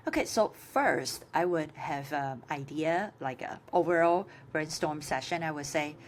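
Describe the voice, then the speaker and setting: A woman with a Chinese accent, a native Chinese speaker, giving an informal tutorial as a course instructor, with halting phrasing full of filler sounds. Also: deep voice, lively intonation, a little hiss or hum. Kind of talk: informal screen-share tutorial.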